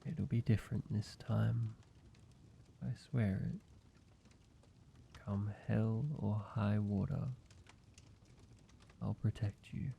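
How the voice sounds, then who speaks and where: Low, slow tender vampire lover, acted by a man. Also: flat delivery, gravelly, exaggerated voice. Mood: tender, devoted. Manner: halting, hushed pleading, soft reassurance with pauses. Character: tender, devoted male vampire lover, gentle and earnest. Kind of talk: intimate audio roleplay addressed to the listener. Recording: dull sound, faint background noise.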